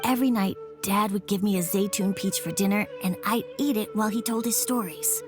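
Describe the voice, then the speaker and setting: A woman playing an earnest, gentle young daughter in a video game, speaking in a childlike, hesitant and sincere way. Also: medium pitch, fairly quick, music in the background, natural voice. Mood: wistful confession.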